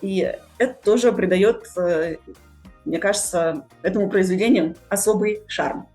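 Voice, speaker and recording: Woman, podcast host, mid-pitched voice, conversational delivery, faint music underneath. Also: normal speed, darkish tone.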